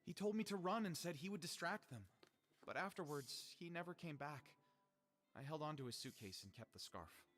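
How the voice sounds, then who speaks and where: Animated game role: bright, high-voiced young reporter, flustered and excuse-making, swooping intonation. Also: male voice, moderate pace, long reverb tail. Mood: explaining. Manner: theatrical, stammering, hesitant excuses. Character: flustered, excuse-making young male columnist, sheepish and eager. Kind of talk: animated video game voice acting.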